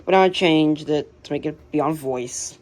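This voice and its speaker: An American woman casually showing a hobby collection, speaking in a halting, unscripted, plain way on an amateur home recording. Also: low-pitched, darkish tone. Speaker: radio collector presenting the collection. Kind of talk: casual hobby show-and-tell narration.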